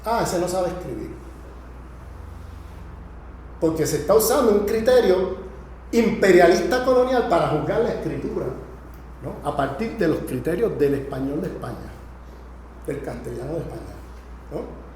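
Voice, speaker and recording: A man's voice: a little high, expressive, normal speed, a little mellow. A man giving an improvised academic lecture, emphatic and didactic, checking in with short tag questions. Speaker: lecturer teaching a class. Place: indoor lecture room. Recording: very echoey room, faint noise.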